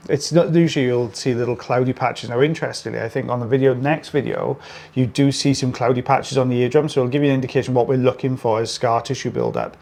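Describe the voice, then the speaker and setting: Brief: British male clinician, mid pitch, easygoing explainer, lots of ums and uhs. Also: fast, creaky. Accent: British English. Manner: relaxed, chatty explaining with fillers. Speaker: ear wax removal clinician. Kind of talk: explaining a procedure on camera.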